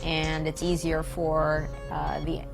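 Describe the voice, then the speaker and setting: An American woman giving earnest, emphatic political commentary as an activist in an interview, phrasing her points deliberately. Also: sits low, completely flat delivery, vocal fry, music in the background. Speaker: peace activist being interviewed.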